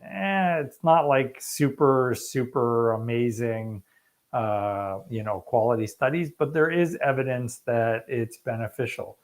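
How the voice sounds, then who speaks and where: A man's voice: medium pitch, unhurried. A middle-aged man, a fertility specialist hosting a live question-and-answer stream, talking bluntly and casually and laughing easily. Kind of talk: live Q&A chat.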